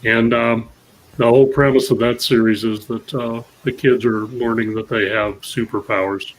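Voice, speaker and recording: A man talking as an author guest in a halting way, full of fillers. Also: mid-range voice, steady tone, a little hiss or hum, poor audio. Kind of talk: casual panel chat.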